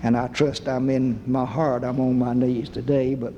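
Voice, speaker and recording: A man leading a church service, speaking slowly with a low voice and old-fashioned scriptural phrasing. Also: level tone, dark tone, in a large hall, lo-fi sound, a little hiss or hum. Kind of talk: slow church sermon and prayer.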